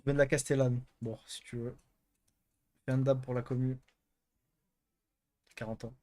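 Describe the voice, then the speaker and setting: A man's voice: medium pitch, flat delivery, unhurried, a little mellow. A young man hosting a live stream, chatting casually and answering chat with crude, teasing jokes. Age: young adult.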